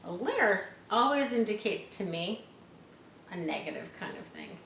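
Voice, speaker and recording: A woman's voice: medium pitch, expressive, a little slow, gravelly, a bit bright. A woman reading a storybook aloud to children expressively, with explanatory asides. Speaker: storybook reader. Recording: echoey room, faint background noise.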